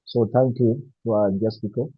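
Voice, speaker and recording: A man's voice: medium pitch, fairly even intonation, moderate pace, slightly rough, no room sound. A man speaking at an online meeting, hesitant and full of fillers, thanking a guest speaker. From Nigeria. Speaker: meeting participant. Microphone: video call. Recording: dull lo-fi call audio.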